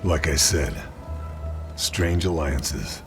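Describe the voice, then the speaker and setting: Very deep, stoic American soldier. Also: male voice, drawn-out words, soft background music, heavily acted. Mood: guarded, dry. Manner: deadpan, terse. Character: gruff American OSS sniper, male, stoic and businesslike. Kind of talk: scripted game narration and dialogue.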